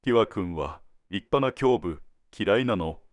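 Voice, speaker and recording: A man playing a world-weary senior detective in an audio drama, laconic and deliberate, given to dry teasing jokes. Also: on the low side, expressive, no room sound. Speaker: police detective at headquarters.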